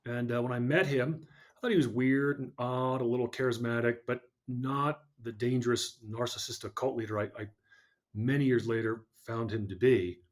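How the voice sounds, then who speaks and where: A man speaking as a podcast guest, telling a personal story in an earnest, measured way. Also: mid-range voice, fairly even intonation.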